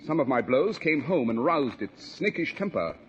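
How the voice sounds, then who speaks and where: A man playing a brisk, self-assured detective in a 1940s radio drama, theatrical and commanding with precise diction. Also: fairly high pitch, big pitch swings, dull audio. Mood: self-assured explaining. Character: brisk, self-assured male English detective, coolly unsentimental. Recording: noisy vintage 1940s radio recording.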